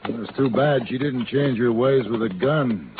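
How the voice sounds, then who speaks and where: An actor playing a steady, principled Western gunfighter with an American accent and terse, measured delivery in a 1950s radio drama. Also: male voice, mid-range voice, natural voice. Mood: calm, sober. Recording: noisy, tinny old-time radio recording.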